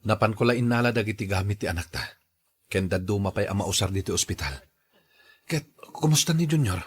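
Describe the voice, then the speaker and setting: A man's voice: on the low side, lively intonation, a little fast, natural voice. A man with a Filipino accent playing an earnest young husband and father in a radio drama. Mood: regretful, blaming.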